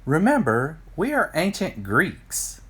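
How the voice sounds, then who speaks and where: A man's voice: fairly high pitch, wildly swooping pitch, takes it slowly, a bit bright, husky, pitched above the performer's own voice, full character voice. A man voicing a group of cheeky, easily impressed ancient Greek friends in a children's storybook, exclaiming and teasing.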